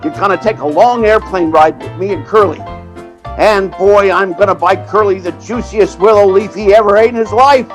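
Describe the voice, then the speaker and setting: A man playing an excitable, money-minded theatrical agent in an old-time radio drama, animated and stammering when flustered. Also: sits high, moderate pace, faint music behind, natural voice. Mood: excited. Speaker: narrator and lead character.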